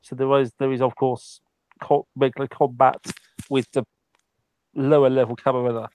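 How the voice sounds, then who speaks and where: A man's voice: mid-range voice, flat delivery, normal speed, darkish tone, no room sound. A man speaking in character during a tabletop roleplay, hesitant, with many ums and restarts.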